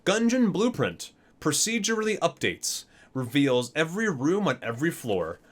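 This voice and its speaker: A man's voice: fairly high pitch, sing-song, moderate pace. A man hosting an ASMR video, reading guidebook entries aloud and sometimes stumbling and correcting himself.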